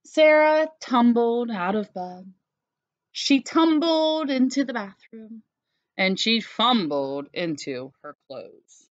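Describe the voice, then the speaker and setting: A woman's voice: fairly high pitch, lively intonation, takes it slowly, dry recording, lo-fi sound. A woman narrating a children's picture book expressively, like a teacher reading aloud.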